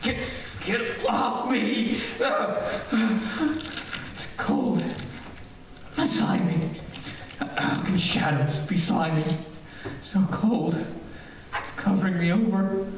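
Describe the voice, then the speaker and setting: A man's voice: high-pitched, lively intonation, very slow, long pauses, heavily acted. An actor playing a reckless, obsessed man in an old-time radio horror play, commanding, urgent and melodramatic. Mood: panicked, desperate. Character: reckless, obsessed man called Doc, driven to panic. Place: echoey room, live streamed performance. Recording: echoey, distant, noisy streamed stage audio.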